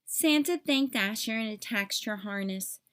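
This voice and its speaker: A woman reading a children's story aloud in an expressive storytelling style. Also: a little high, a little slow, smooth. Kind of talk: expressive scripted story narration.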